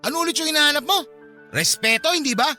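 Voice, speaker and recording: A man's voice: very high voice, lively intonation, quick pace, sharp tone, soft background music, full character voice. A man with a Filipino accent playing an irritable, defensive husband in a radio drama. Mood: angry, defiant.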